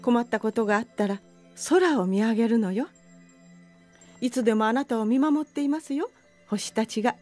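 A woman playing a gentle, refined, serene queen mother in an anime cartoon. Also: on the high side, moderate pace, pauses often, faint music behind, close to an everyday voice. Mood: serene, reassuring. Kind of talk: Japanese anime character acting.

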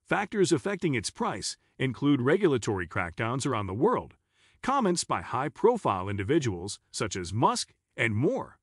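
A man reading a scripted explainer narration in a husky voice. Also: medium pitch, huge pitch swings, moderate pace, fairly bright tone, dry recording, studio quality.

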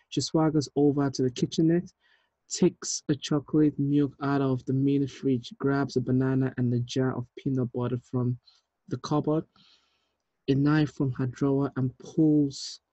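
A man in his thirties reading screenplay directions aloud in a measured, deliberate way, with small stumbles. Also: mid-range voice, mellow, no room sound, lo-fi sound. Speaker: writer reading stage directions at a table read. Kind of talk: script narration, reading aloud. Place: indoor room, on a video call.

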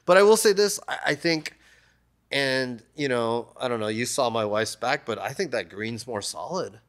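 A man's voice: medium pitch, normal speed. A man in his thirties, a tattoo artist guest, talking casually and loosely, with lots of "like" and "you know", on a desk mic in a shop. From United States. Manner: relaxed, rambling, many "like" and "you know" fillers. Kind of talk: casual interview talk. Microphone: desk mic on stand.

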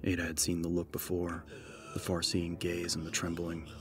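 A man narrating an audiobook in a measured, solemn, scripted dramatic style. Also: low voice, completely flat delivery, faint music behind.

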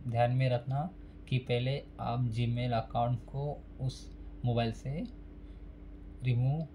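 Flat-voiced man explaining phone steps. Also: medium pitch, a little hiss or hum. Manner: plain, repetitive, instructional. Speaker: phone repair tutorial host. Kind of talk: casual step-by-step tutorial.

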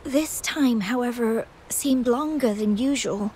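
A gentle, anxious young sweetheart. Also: female voice, fairly high pitch, takes it slowly, slight background noise. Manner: hesitant, stammering. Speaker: game character. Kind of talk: game cutscene voice acting.

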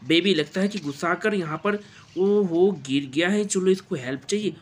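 A man's voice: high voice, normal speed, a little hiss or hum. An Indian man improvising play-by-play narration of toy play for children in an exclamatory way, with frequent wows and interjections. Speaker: presenter of toy play videos.

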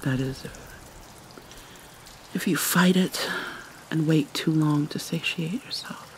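A woman performs a haunted mermaid cursed with vampirism in an ASMR roleplay. She speaks very slowly and mournfully, with long pauses, her soft breathy voice often sinking to a whisper. Steady rain runs behind her. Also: low voice, slight background noise. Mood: troubled. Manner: halting, mournful, dramatic pauses. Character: vampire mermaid, sorrowful young-looking princess, centuries old, haunted. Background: rain ambience.

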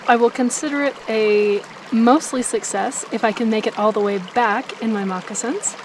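A middle-aged woman chatting casually to her camera while hiking, relaxed and laughing often, recorded outdoors on a small action camera. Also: a little high, moderate pace. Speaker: vlogger. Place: outdoors by a mountain lake and on a trail. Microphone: action camera, handheld or at arm's length. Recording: outdoor camera audio with stream and wind noise.

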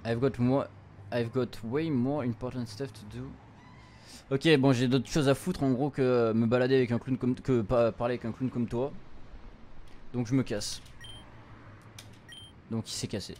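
A man's voice: mid-range voice, fairly even intonation, moderate pace, long pauses, a little mellow, a little hiss or hum. A young man doing a casual let's play, translating and reading game dialogue aloud in an informal, slangy way. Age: young adult. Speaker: streamer. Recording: music playing behind the voice. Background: video game music.

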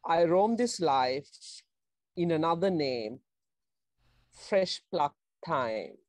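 Middle aged person reading little poems, very slow, dry earbud mic sound. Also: mid-range voice, big pitch swings, darkish tone, dry recording. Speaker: poet reading short verses. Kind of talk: unhurried poetry recital. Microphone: wired earphones on a video call.

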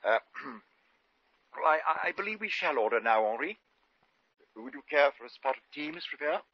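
High-voiced, flustered British gentleman. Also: expressive, breathy edge, dry recording. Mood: courtly, flattering. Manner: halting, courtly, effusive. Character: genteel, flustered, flattering English gentleman shop owner. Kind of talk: 1950s radio comedy acting. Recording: muffled vintage 1950 radio recording.

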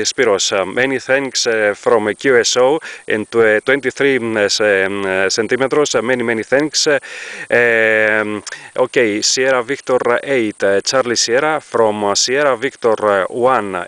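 A middle-aged Greek man, a ham radio operator, talking in halting English with a Greek accent, full of fillers and repeats. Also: mid-range voice, fairly bright tone, clean tone, no echo. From Greece.

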